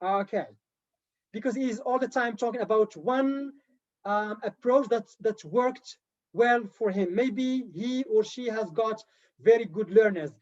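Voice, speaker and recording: A man's voice: sits high, measured pace. Male TESOL trainer on a video call, upbeat and repetitive lecturing, muffled lo-fi sound. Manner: lively, encouraging lecturer who repeats key points. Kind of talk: live online teacher training.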